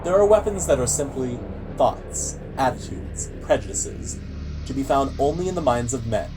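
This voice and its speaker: A man playing a grave, moralizing narrator in a radio play, with a measured, portentous delivery. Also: medium pitch, animated, natural voice, rough recording. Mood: solemn warning. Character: grave, moralizing male narrator of an eerie tale. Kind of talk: scripted radio drama narration. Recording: music bed behind voice.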